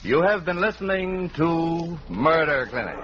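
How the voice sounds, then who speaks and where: Animated 1940s male radio announcer. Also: on the high side, a little slow. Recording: dull 1940s radio recording. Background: music.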